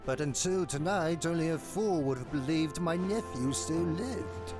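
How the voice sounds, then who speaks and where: A man's voice: a little high, animated, a little slow. A man voicing a hearty, jovial older uncle. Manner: boisterous, hearty, laughing. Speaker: fan voice actor. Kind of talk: fan dub of game cutscene. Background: game score music.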